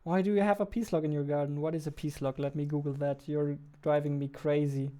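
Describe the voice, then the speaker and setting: A man's voice: on the high side, flat delivery, a little fast, mellow. A man in his twenties streaming as a game developer, chatting informally and playfully into a close studio mic. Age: 20s. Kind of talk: casual livestream chat. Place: small indoor room with dark backdrop. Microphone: close studio mic on boom arm.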